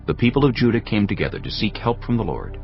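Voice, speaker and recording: A male narrator with a very deep voice that moves expressively through its range. He reads scripture in a measured, storytelling manner. The recording sounds slightly muffled, with faint music underneath. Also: very animated.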